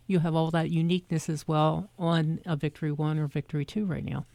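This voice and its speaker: A woman host with a South Jersey accent talks in a chatty, conversational way. Her voice is low and mellow. The sound is dry and close. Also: flat delivery, fairly quick, vocal fry, no room sound. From South Jersey, near Philadelphia. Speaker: show host. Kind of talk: casual interview chat.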